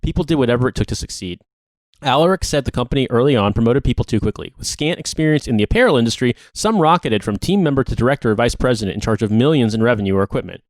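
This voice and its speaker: A man's voice: medium pitch, expressive, racing, no room sound. A man co-hosting a podcast, reading a long article aloud. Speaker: podcast co-host.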